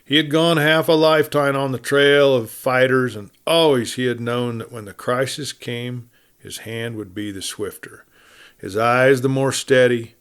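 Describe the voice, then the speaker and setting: A man's voice: medium pitch, steady tone, unhurried, fairly bright tone, smooth. Man narrating a western tale dramatically. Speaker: storyteller, audiobook reader.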